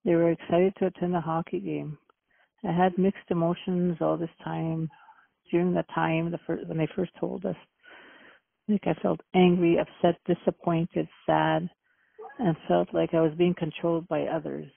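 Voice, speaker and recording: Middle-aged woman from manitoba talking on the phone, gravelly and husky, stops and restarts a lot. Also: lowish voice, level tone, no room sound. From Manitoba, Canada. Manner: earnest, halting, with restarts and "um"s. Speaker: interviewee. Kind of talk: phone interview answers. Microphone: telephone. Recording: tinny phone line.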